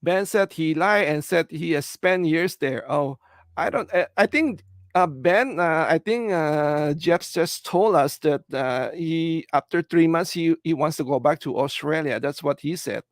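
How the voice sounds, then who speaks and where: A middle-aged man with a Filipino English accent speaks in a slightly high, gravelly voice, recorded dry and close with no room sound. He chats casually on a livestream, pausing often on "uh" and "you know" as he reads out viewer comments. He sounds earnest and reassuring. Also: no echo. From Philippines. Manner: halting, full of "uh" and "you know" fillers. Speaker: livestream host.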